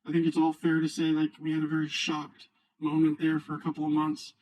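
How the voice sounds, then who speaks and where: A man speaking through a voice changer, giving casual, halting, unscripted screen-share commentary as a video host. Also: fairly high pitch, completely flat delivery, darkish tone, dry recording, poor audio. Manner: halting, rambling, unscripted delivery. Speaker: video host, new finance YouTuber.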